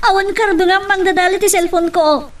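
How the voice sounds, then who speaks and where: A woman's voice: very high-pitched, fairly even intonation, a little fast, distant mic. A woman playing a hurt, defiant young pregnant woman in an Ilocano love drama. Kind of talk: Ilocano radio-style love drama acting.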